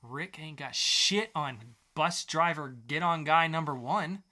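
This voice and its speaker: A man's voice: a little high, measured pace, bright, forceful tone. A man co-hosting a casual comics podcast with a theatrically sarcastic, mock-grandiose delivery. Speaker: podcast co-host. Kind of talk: casual comic review chat.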